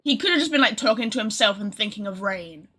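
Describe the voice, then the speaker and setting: A woman's voice: mid-range voice, animated, fast, fairly bright tone. A woman streamer giving casual livestream commentary, rambling and speculating, often trailing off mid-thought.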